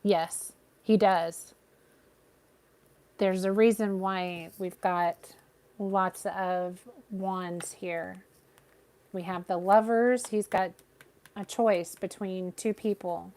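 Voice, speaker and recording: A woman giving a live tarot reading with a soothing, gentle voice, talking straight to her viewers. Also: mid-range voice, fairly even intonation, drawn-out words, long pauses. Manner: gentle, reassuring, addresses viewers by name. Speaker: tarot reader.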